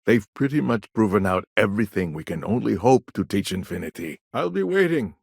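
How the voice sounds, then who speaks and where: A man acting a shrewd, self-assured businessman in a video game, with a wry, smooth-talking manner. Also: on the low side, sing-song, brisk, no room sound, studio quality, exaggerated voice. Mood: wry, confident.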